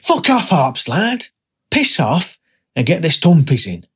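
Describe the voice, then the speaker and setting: A man's voice: on the high side, huge pitch swings, slow pace, a bit bright, husky, exaggerated voice. An actor playing a brash, foul-mouthed, boozy young man in an audiobook drama. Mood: brash, dismissive.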